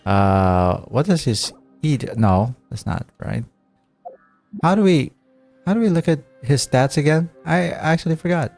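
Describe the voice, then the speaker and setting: A man's voice: on the high side, lively intonation, unhurried, vocal fry, darkish tone, soft background music. A man giving casual gameplay commentary as a streamer, thinking aloud and reading game text. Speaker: gaming streamer.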